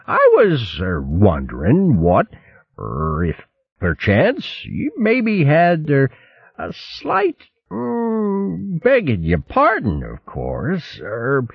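A man's voice: mid-range voice, huge pitch swings, extremely slow, vocal fry, slightly rough, no echo, dull audio, voice pushed up, exaggerated voice. A man playing a pompous old male hare who stammers and hems. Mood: hesitant. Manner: stammering, pompous upper-class. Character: blustering old male hare, always hungry.

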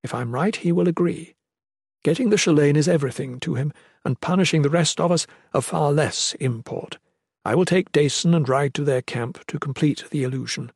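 A man's voice: on the high side, normal speed, creaky, a little mellow, husky, no room sound, clean studio sound, pitched above the performer's own voice. A man voicing a determined, self-sacrificing mother in an earnest, formal manner for a fantasy audiobook. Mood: resolute. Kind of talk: fantasy audiobook character reading.

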